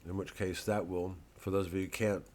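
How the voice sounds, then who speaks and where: A man with a fairly low voice talks casually, like a comedian plugging a gig to camera. The delivery wanders and digresses, with a dry, mock-earnest edge. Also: little pitch movement, a little fast, noisy background. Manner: rambling, digressive. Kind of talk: casual vlog promoting a live show.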